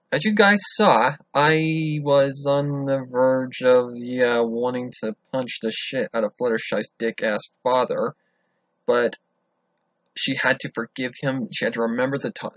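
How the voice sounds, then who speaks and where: A man reacting to a story, excitable and thinking out loud, swearing now and then. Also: mid-range voice, no echo. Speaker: reaction video host. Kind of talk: casual reaction commentary. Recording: dull lo-fi home recording.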